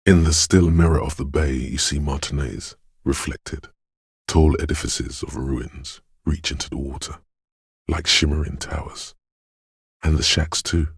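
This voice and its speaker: A man voicing a detective's eerie, dreamy intuition in a video game, narrating in a husky, hushed, ominous and poetic way. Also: fairly even intonation, unhurried, no room sound, clean studio sound, full character voice. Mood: eerie, wistful. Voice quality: husky, gravelly bass. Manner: hushed, ominous, poetic, lingering on short phrases. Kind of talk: atmospheric second-person video game narration.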